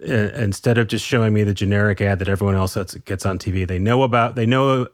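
A man's voice: on the low side, fairly even intonation, fast, no echo, studio quality. A man interviewing a guest with skeptical, conversational questions.

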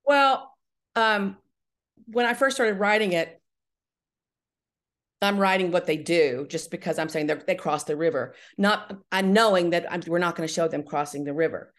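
Female interview guest, slightly low voice, explanatory and conversational, checks in often as she explains. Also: normal speed. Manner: explanatory, often checking with "right?" and "you know". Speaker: guest, audio drama writer-director.

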